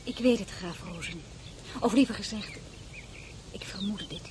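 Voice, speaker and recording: An actress playing a dignified, wistful and wary crown princess in a radio drama, speaking formally and measured, often dropping to a breathy murmur. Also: female voice, mid-range voice, lively intonation, noisy background, close to an everyday voice. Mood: grave, restrained. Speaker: lead actress and first-person narrator. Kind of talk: Dutch radio drama acting.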